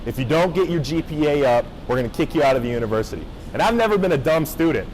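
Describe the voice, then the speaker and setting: A young man from Japan giving a casual motivational talk to high school students, often checking in with the crowd. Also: lively intonation, fast talker, a little mellow, slight background noise, low-quality recording. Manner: casual, engaging, checks in with the audience. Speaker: motivational speaker. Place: high school gathering space.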